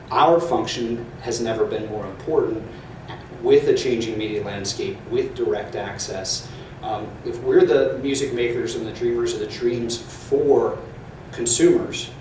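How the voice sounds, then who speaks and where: A man's voice: mid-range voice, darkish tone, slight background noise. Earnest man in an echoey hall. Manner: measured, earnest, with frequent "um" fillers. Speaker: panelist, public relations professor. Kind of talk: conference panel remarks. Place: large echoey conference hall. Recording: echoey hall audio from far off.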